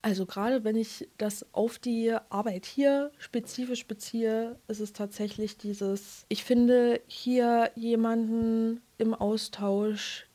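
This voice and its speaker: A woman youth club worker speaks in a measured, reflective way. Her voice is mellow and soft, and she often pauses to find the right phrase. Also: a little high. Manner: thoughtful, searching for words, measured. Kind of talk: interview clip, reflective explanation.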